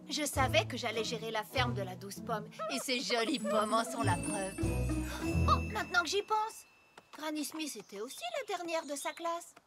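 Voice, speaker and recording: A woman voicing a kindly, proud young farm pony in a French cartoon dub, reassuring and upbeat like a big sister. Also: high voice. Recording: loud music behind the voice.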